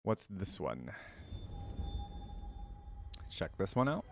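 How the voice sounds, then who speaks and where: Low-voiced man reacting casually over music. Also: wildly swooping pitch, very slow, creaky. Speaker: reaction video host. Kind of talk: casual live reaction commentary. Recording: music playing behind the voice. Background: game trailer music and audio.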